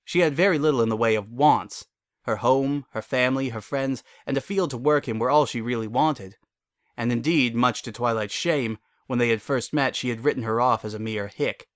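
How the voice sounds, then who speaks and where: A man's voice: medium pitch, moderate pace, no echo, clean studio sound. A man reading a scripted story as its narrator.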